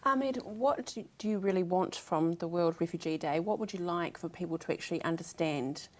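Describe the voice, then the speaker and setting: A woman's voice: fairly low pitch, a little fast, darkish tone. A woman with a New Zealand accent hosting a local TV interview show, encouraging her guests with an upbeat manner. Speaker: host.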